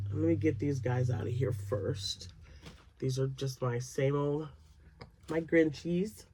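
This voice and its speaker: A woman's voice: low voice, slight background noise. A woman in her later years, a crochet vlogger chatting casually to camera, a little nasal and froggy from sinus drainage, rambling with fillers. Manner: chatty and rambling, many fillers, laughs often.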